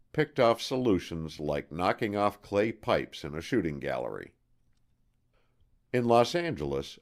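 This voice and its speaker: A man with a very low voice reads scripted non-fiction as an audiobook narrator. His intonation moves widely up and down. He phrases things deliberately and leans firmly on key words.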